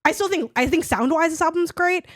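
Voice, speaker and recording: A hoarse young woman co-hosting a podcast, chatting casually and rambling with lots of "like". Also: very high-pitched, fairly quick, no room sound, clean studio sound. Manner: rambling, heavy use of "like". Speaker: podcast co-host. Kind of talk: casual chat.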